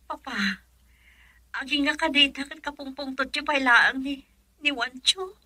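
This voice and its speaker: A woman's voice: high voice, fairly even intonation, measured pace, bright, forceful tone, dry recording, exaggerated voice. A woman with an Ilocano accent playing a worried young wife in an emotional radio drama. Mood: anxious, pleading. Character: worried young wife pleading with husband and father.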